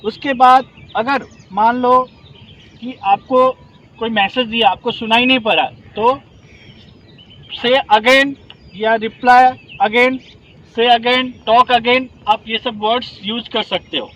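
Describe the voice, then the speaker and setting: High-voiced man teaching a class outdoors. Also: steady tone, slow, sharp tone, dull audio. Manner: explains step by step, asks the class to confirm. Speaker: rescue trainer. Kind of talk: instructional demonstration.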